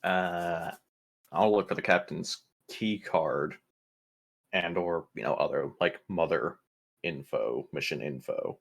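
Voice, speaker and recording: Low-voiced man playing a tabletop game. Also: takes it slowly, creaky. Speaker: player. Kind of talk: casual tabletop roleplaying chat.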